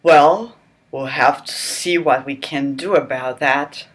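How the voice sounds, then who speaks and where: A woman putting on a hushed seductive man. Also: full character voice. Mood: murmuring. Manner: low hushed murmur, slow and breathy. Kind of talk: mock-dramatic reading of a romance novel.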